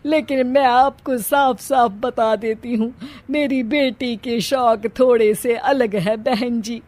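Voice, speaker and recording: A woman's voice: on the high side, steady tone, slow pace, a little mellow. A woman voicing a frank, courteous middle-aged mother of a bride in a cartoon story, carefully explaining herself. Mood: polite, frank.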